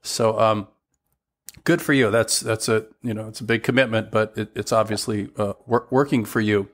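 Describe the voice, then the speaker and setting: A man hosting an interview podcast, asking halting questions and giving steady encouraging affirmations. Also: on the low side. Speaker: podcast host. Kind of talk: conversational interview.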